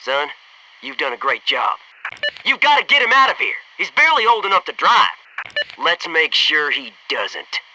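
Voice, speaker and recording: An actor playing a brash, wisecracking American military commando in a video game, with clipped, gung-ho tactical talk and wry slang. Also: male voice, fairly high pitch, sing-song, moderate pace, recorded from a distance, background noise. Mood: subdued, earnest. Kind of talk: video game voice acting, tactical battlefield barks.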